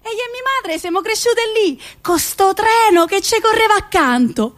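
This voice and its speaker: A woman with a Roman, central Italian accent, telling a story theatrically in an expressive, dramatic manner. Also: very high voice, normal speed. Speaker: stage actress narrating a monologue. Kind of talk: theatrical storytelling, solo radio play.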